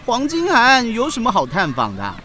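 Brash, goofy schoolboy, animated. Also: male voice, very high-pitched, fairly quick, some chatter behind, slight background noise, heavily acted. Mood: whiny, teasing. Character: brash, goofy schoolboy Ah-Xiu, scatterbrained and whiny. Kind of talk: children's audio drama acting.

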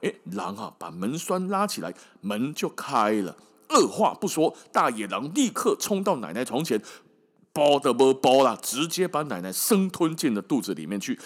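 A man's voice: mid-range voice, a bit bright. A man with a Taiwanese accent, a podcast host telling a fairy tale casually with irreverent, colloquial comic asides.

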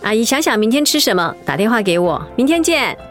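A woman acting a kind young neighbor in a radio drama, speaking gently and reassuringly to an older woman. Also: on the high side, very animated, fast, soft background music. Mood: caring.

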